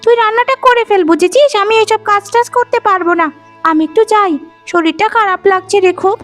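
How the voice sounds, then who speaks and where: Casting: spiteful twin-sister wife, extremely high voice, theatrical cartoon whine, loud music underneath. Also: female voice, brisk. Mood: dismissive. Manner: theatrical, whining, scolding. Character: jealous, scheming young wife, twin sister, whiny and spiteful. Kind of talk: melodramatic cartoon voice acting.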